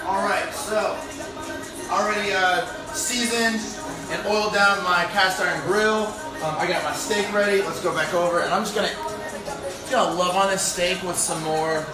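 A young man with a fairly high voice talks from a distance in a very echoey kitchen. He hosts his cooking in a laid-back, rambling way, tossing in jokey asides. Music plays clearly behind him. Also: steady tone, moderate pace. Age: young adult. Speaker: cooking show host, home chef. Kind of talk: casual chat while cooking. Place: home apartment kitchen. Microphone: phone on counter, a few steps away. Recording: roomy, echoey phone recording from far off.

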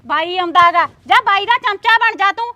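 A woman acting a sharp-tongued village wife who scolds with biting, quick retorts. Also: very high-pitched, dry recording, highs cut off. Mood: scolding. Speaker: actor in a family quarrel skit.

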